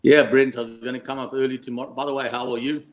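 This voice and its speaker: A man's voice: medium pitch, level tone, fast talker. A South African man chatting casually as a betting guest, bantering and often ending on tag questions. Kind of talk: casual chat.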